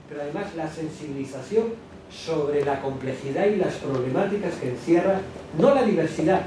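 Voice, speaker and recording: A man gives an animated lecture to teachers, asking the room rhetorical questions and adding quick asides to the audience. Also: on the high side. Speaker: education speaker at a teachers' workshop. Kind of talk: lecture presentation. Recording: echoey hall, distant mic, background noise.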